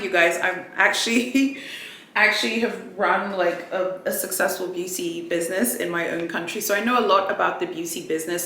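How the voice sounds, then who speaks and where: Bright, chatty woman vlogger. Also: lowish voice, echoey room, slight background noise. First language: Swahili. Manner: chatty, rambling, with frequent restarts and fillers. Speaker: luxury unboxing vlogger. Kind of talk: casual chatty unboxing vlog. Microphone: ring light setup, camera mic.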